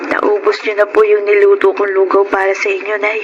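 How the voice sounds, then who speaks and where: A woman's voice: medium pitch, completely flat delivery, a little fast, muffled recording, over loud music, lightly acted. A woman with a Filipino accent playing a dutiful, respectful teenage daughter in a radio drama. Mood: caring, gentle. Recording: noisy radio drama recording.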